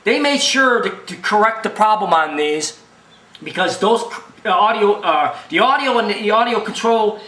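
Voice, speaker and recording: Guy with a high voice doing a repair how-to, folksy and rambly, repeats stuff, echoey room. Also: animated, normal speed, pushed and bright. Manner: folksy, rambling, repetitive, emphatic explainer. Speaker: video machine repair technician and presenter. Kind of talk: informal spoken repair tutorial. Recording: roomy, echoing sound.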